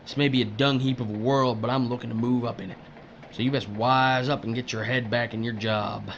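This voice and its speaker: A man's voice: medium pitch, steady tone, fairly quick, muffled recording, a little hiss or hum, natural voice. A man playing a crude, cynical older worker who strips corpses, needling and folksy, in an audio drama. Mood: defensive, gruff.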